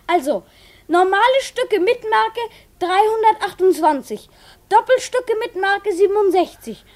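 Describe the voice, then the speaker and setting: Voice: extremely high pitch, animated, a little slow. A performer playing an eager, dutiful schoolboy son in a German radio play, reciting carefully.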